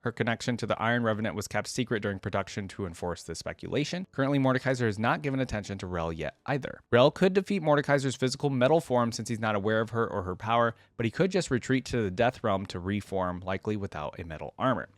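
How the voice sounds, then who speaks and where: A man's voice: fairly low pitch, animated, fast talker, few pauses, dry recording. A man hosting a reaction video, giving casual, informal and chatty commentary. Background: faint music.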